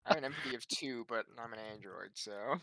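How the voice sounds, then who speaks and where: A man's voice: mid-range voice, fairly quick, crowd noise. A young man playing a tabletop game, teasing and casual. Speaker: player.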